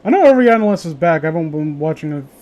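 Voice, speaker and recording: A man's voice: on the high side, expressive, rapid-fire, darkish tone, dull audio. A man chatting casually as a co-host, rambling and swearing offhandedly. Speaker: co-host of an online read-along chat. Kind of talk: casual chat.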